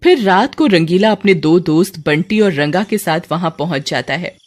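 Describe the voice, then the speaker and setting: A woman's voice: lowish voice, lively intonation, moderate pace. A woman storyteller giving scripted narration of a horror tale.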